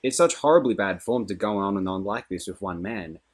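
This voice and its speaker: A man's voice: lowish voice, animated, a little fast, husky. A man reading a novel aloud, voicing a sensible young woman who scolds her friend in an insistent, gossiping manner. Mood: scolding.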